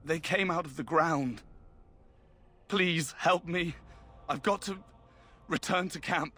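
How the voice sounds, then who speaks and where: A man playing a wounded soldier, weak and halting as he pleads for help. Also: on the high side, sharp tone, full character voice. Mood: pained, pleading.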